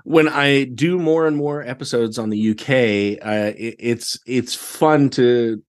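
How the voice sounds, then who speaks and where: An American man talking casually as a podcast guest, often circling back over words as he searches for the next one. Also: mid-range voice. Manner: halting, searching delivery with repeated words. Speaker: podcast guest, theatre director. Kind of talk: casual interview conversation.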